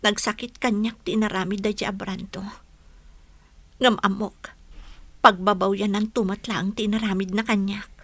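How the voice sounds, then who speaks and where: A woman's voice: medium pitch, fairly even intonation, normal speed, a bit bright, no room sound. A woman playing a meek, sorrowful young village daughter in a radio drama, speaking with an Ilocano accent. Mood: sad, weary.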